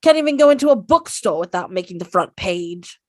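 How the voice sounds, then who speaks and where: A woman's voice: medium pitch, lively intonation, brisk, a little mellow, no room sound, studio quality, natural voice. A woman voicing a snobbish boy wizard with a sneering manner.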